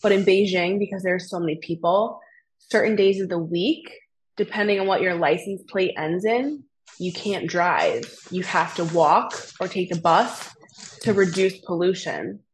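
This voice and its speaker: A young woman with an American accent and a noticeably husky voice that sits a little low. She speaks casually and explains things in a chatty, storytelling way, as a guest in a relaxed conversation. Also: unhurried, poor audio. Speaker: podcast guest, fitness coach.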